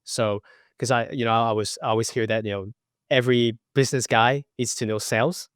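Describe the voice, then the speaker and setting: A man in his twenties from Macau, a podcast guest telling his story in a relaxed way with lots of fillers. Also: medium pitch, a little fast, clean tone, no echo, studio quality. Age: 20s. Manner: relaxed, many fillers like you know. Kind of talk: casual interview.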